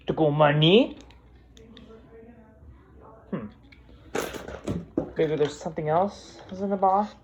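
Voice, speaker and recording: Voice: mid-range voice, slow pace, long pauses, lo-fi sound, slight background noise. A person chatting casually while unboxing and trying out a toy.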